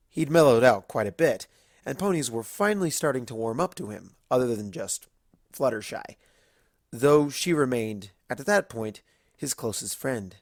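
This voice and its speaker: A man's voice: medium pitch, no echo, studio quality. A man reading a scripted story aloud as its narrator.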